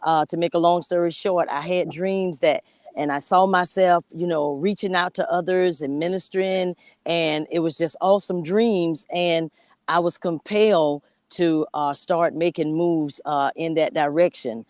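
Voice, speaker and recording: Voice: mid-range voice, fairly even intonation, measured pace, darkish tone, no echo. An earnest motivational speaker reflects on living with purpose. The sound is dry and close, with no room around it, over a video call. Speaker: motivational speaker, show host. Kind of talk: guest talk on an online show.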